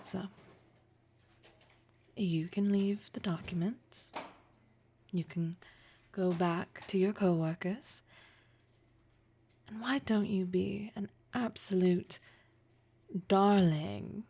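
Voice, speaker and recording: Woman acting a slow, husky, flirtatious lady boss. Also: mid-range voice, fairly even intonation, dark tone, full character voice. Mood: flirtatious, commanding. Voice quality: breathy, husky. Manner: slow, deliberate, breathy, teasing formality. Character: poised, teasing, wealthy female boss, flirtatious and dominant. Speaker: audio roleplay performer. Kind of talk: intimate flirtatious audio roleplay acting.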